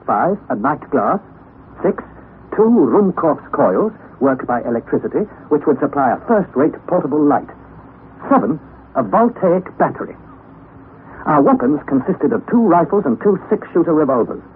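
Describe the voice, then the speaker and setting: A young man narrating his adventure in first person for a 1960s radio drama, measured and careful. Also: on the high side, big pitch swings. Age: young adult. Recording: tinny old radio broadcast with hiss.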